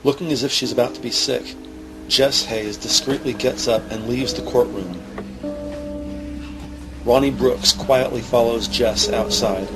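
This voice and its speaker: A man narrating an audio drama in a measured, descriptive, scripted manner. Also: mid-range voice, steady tone, over music, close to an everyday voice, low-quality recording. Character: measured male narrator describing courtroom scenes.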